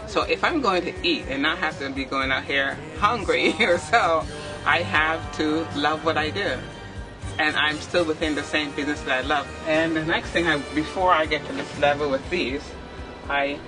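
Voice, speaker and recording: Lively woman explaining her craft. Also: low voice, poor audio. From Barbados. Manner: lively, explains step by step, laughs often. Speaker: theatre makeup artist. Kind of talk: demonstrating work while talking to the camera. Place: small backstage dressing room, indoors.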